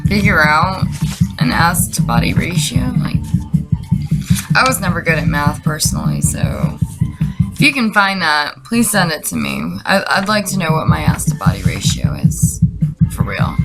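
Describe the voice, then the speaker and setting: A woman's voice: low-pitched, sing-song, moderate pace, over loud music, poor audio. A woman giving a casual comedic monologue in a slangy, sarcastic way with plenty of swearing. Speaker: vlogger reading out messages she received.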